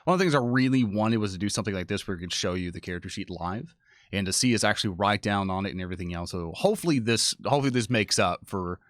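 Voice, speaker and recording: A man's voice: lowish voice, quick pace, no room sound, clean studio sound. A man co-hosting a live stream, chatting casually and addressing the viewers as he goes. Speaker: co-host and social media producer of a live stream.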